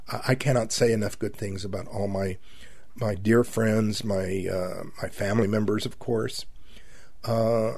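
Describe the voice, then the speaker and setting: A seventy-year-old male radio host with a husky, slightly breathy voice, at a middle pitch for a man. He speaks in a folksy, rambling monologue, stopping and restarting with many fillers. The sound is dry and close, with no room around it. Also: darkish tone, no room sound. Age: 70s. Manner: halting, with many fillers and repeated words; folksy, addresses listeners as my friends. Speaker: radio talk show host.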